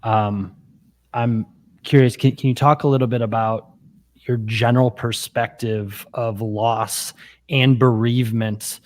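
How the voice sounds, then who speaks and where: A man hosting an interview, asking questions gently and hesitantly, often repeating words. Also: medium pitch, fairly even intonation, unhurried. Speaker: interview host. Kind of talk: interview conversation.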